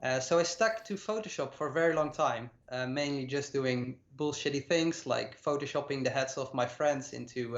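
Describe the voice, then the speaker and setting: A Dutch man in his late twenties talks through his story as a class guest, halting on fillers and repeating himself. His voice sits at a middling pitch. It comes through roomy and a little muffled, as if over a call. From Netherlands. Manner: hesitant, many uh fillers and repeated words. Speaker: guest compositing artist. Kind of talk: casual talk about his career. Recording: echoey, dull lo-fi sound.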